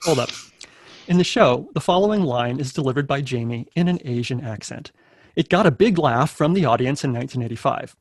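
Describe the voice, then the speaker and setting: A man narrates a script at a table read. His voice is creaky with fry and recorded dry and close. He reads out the stage directions in a scripted style. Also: medium pitch, expressive, a little fast, dry recording.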